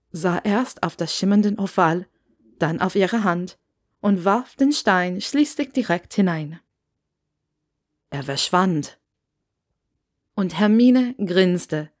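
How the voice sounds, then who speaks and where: A woman's voice: fairly low pitch, a little mellow. A woman reading scripted fiction aloud as an audiobook narrator.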